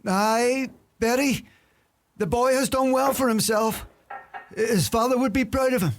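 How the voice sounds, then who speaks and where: An actor playing a scrawny, idle old uncle who grumbles and boasts, with a Donegal Irish accent, in a radio play. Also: male voice, very high voice, unhurried, slight background noise. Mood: boastful. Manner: grumbling, droll.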